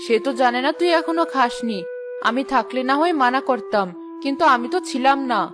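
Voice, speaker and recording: High-pitched, spiteful nagging village aunt acted by a woman. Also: a little fast, over music, natural voice. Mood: dismissive. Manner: nagging, scornful. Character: spiteful, scolding village aunt, middle-aged mother. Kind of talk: Bengali cartoon voice acting.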